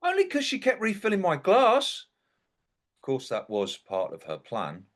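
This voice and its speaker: Guy playing a wry dead young bloke narrating his own story, theatrical and exclamatory, pitch all over the place. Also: huge pitch swings, unhurried, exaggerated voice. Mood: indignant. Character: indignant, sardonic dead young man narrating his own post-mortem. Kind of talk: dramatic monologue in a comic stage play.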